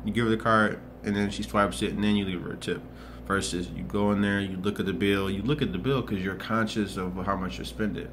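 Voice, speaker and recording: A man's voice: fairly low pitch, fairly even intonation, brisk, hardly any pauses, noisy background. A man in his twenties talking earnestly in a rambling motivational vlog, restarting and repeating himself often. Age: 20s. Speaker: motivational vlogger. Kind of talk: casual motivational monologue.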